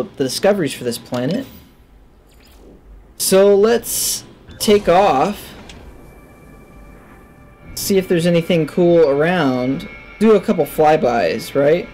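Guy doing a lets play, kinda creaky voice, goes up and down a lot, mumbling thoughts to himself. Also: fairly high pitch, a little mellow. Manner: offhand, musing aloud to himself. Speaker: gaming let's player. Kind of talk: casual gameplay commentary. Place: indoors at a desk. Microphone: headset.

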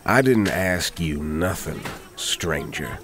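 A man playing a rude, grumpy older town trader in an audio roleplay, gruff and folksy. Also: deep voice, expressive, slow, a bit bright, slight background noise, exaggerated voice. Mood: grumpy, dismissive.

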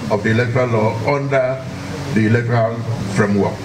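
A man's voice: mid-range voice, steady tone, brisk. Older nigerian man making a formal speech, echoey hall, noise behind him. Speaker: electoral commission official. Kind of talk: formal public address. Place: public hearing hall at lectern. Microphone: lectern microphone. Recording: echoey noisy hall, distant mic.

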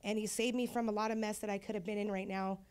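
A woman's voice: medium pitch, fairly even intonation, quick pace, darkish tone, smooth. A young woman giving an earnest, heartfelt personal testimony on a church stage into a handheld mic. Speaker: program graduate.

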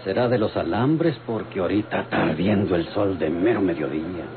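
Over a tinny old radio recording with babble behind, a gruff Mexican country outlaw. His very low voice drawls folksy, mocking remarks, suspicious of everyone, in old radionovela style. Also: a little fast, crowd noise. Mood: wary, folksy. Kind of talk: old-time radionovela acting.

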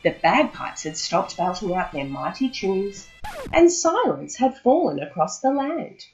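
A woman reading a children's storybook aloud with expressive, dramatic emphasis, in a slightly muffled recording. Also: medium pitch, a little slow, faint music behind.

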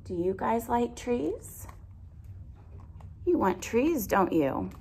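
Slow-paced woman reading to children. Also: on the high side. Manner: expressive, explains and asks children simple questions. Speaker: teacher. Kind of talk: picture-book read-aloud for young children. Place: indoor classroom with alphabet wall displays. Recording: clear background noise.

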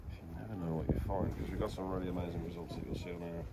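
A man with a low voice hosts a casual ghost-hunting livestream out in a forest. He talks in a hesitant, rambling way with the odd swear word. The outdoor recording is very noisy. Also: fairly even intonation, racing. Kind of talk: casual outdoor vlog chat.

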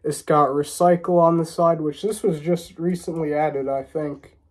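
A man's voice: a little high, steady tone, normal speed. A young American man with a stuffy, congested voice from a cold, chatting casually to camera as a reviewer in a small room. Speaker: vlogger reviewing drinks.

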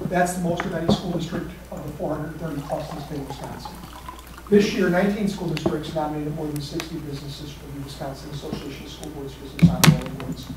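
A man presenting awards at a school board meeting, giving facts and figures in a steady, informative way. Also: a little high. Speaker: district staff presenting awards. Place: large meeting room. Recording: echoey room from far off with hiss.

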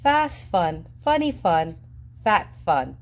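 Slow, sing-song woman reading a picture book. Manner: lively sing-song storybook reading with playful pitch jumps. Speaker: children's book reader. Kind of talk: read-aloud of a picture book. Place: indoors at a table, reading an open book. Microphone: camera above the book, reader unseen.

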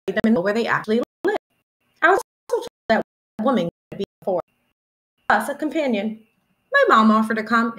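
A young woman in her twenties, a teacher reading a children's picture book aloud in an expressive storytelling manner, recorded indoors at home on a webcam. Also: medium pitch, poor audio. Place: small room at home, indoors.

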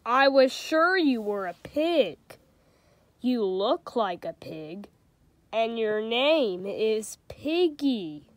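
A woman voicing an anxious, easily alarmed male elephant from a picture book, reading slowly and wonderingly. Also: a little high, sing-song, slight background noise, full character voice. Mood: puzzled. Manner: slow, wondering storybook reading.

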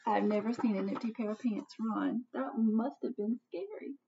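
High-pitched woman reading a picture book aloud. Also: moderate pace, creaky, dark tone, slight background noise. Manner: dramatic, teacherly, asks questions of the listeners. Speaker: children's story reader. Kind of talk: expressive picture-book read-aloud with questions to young listeners. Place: small home office, indoors. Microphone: computer webcam at desk distance. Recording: dull webcam audio, distant from mic.

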